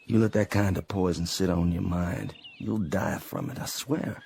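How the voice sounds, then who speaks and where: An actor playing a war-weary, decent Confederate sergeant in a radio drama, with a Southern American accent and a folksy, hesitant, earnest manner. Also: male voice, sits low, steady tone, normal speed, vocal fry, darkish tone, slight background noise. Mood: weary, grave. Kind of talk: dramatic radio play acting. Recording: studio radio drama over faint music.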